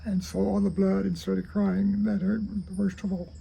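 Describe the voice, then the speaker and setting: A man reads a childhood memoir aloud in a halting, deliberate way, stumbling now and then. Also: high voice, flat delivery, vocal fry, mellow, slight background noise, poor audio. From rural Kentucky, United States. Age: 80s. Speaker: storyteller reading own memoir.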